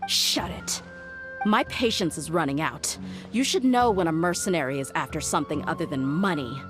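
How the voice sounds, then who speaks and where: Low-voiced tough mercenary woman. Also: animated, normal speed, over music. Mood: stern, impatient. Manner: assertive, threatening, sardonic. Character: tough, blunt, confident young mercenary woman, intimidating. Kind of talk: video game voice acting.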